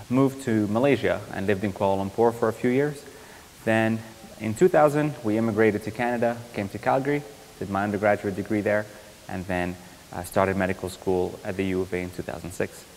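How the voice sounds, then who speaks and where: A young man from Iraq gives a university guest lecture, speaking in a somewhat low voice. He talks in a measured, thoughtful way with frequent ums and self-corrections. His voice rings with the long reverb of a lecture hall. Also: slight background noise. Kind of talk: academic lecture.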